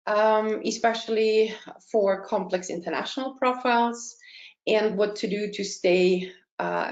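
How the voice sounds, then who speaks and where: A woman, presenting a webinar as a career consultant in a measured, explanatory way. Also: medium pitch, darkish tone. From Austria. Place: indoor room, online call. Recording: dull, roomy online webinar audio.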